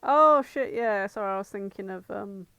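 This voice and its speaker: A woman's voice: mid-range voice, moderate pace, pushed and bright, smooth, dry recording. A British woman co-hosting a casual podcast, talking in a blunt, sweary way. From Britain. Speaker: podcast co-host.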